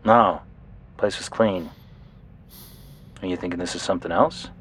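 An actor playing a matter-of-fact police detective in an audio drama, clipped and businesslike. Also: male voice, sits low, a little slow, a bit bright, slightly rough, full character voice.

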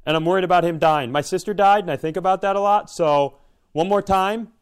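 A man's voice: sits high, brisk, smooth. A man telling a casual, comedic personal story in a self-deprecating way, slipping into imagined dialogue. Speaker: podcast host and comedian.

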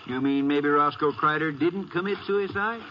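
An actor playing a folksy, plain-spoken Texas sheriff in a 1950s radio western, with an American accent, on an old radio recording. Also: male voice, on the high side, normal speed, highs cut off, a little hiss or hum, natural voice. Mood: cautious.

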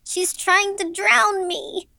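A woman's voice: sits high, unhurried, vocal fry, no echo, studio quality, voice pushed up, exaggerated voice. A woman voicing an eerie, frightened six-year-old girl in a ghost story, with a childlike delivery. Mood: terrified.

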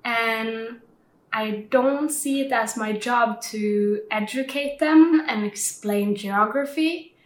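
A woman's voice: on the high side, slow pace, echoey room. A woman from Norway with a Norwegian accent, reading out a careful question as a listener voice message.

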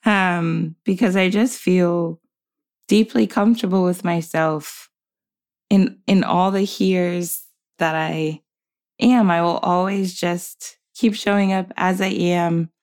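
A woman in her twenties, a podcast guest, speaking thoughtfully and in a measured way, with soft laughs between her points. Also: lowish voice, steady tone. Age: 20s. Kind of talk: reflective conversational interview.

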